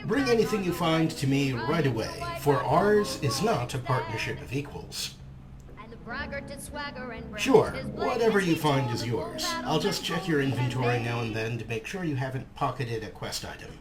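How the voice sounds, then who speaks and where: A man's voice: mid-range voice, expressive, normal speed. A man hosting a let's play, casually commentating and reading dialogue options aloud. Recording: game music behind the voice.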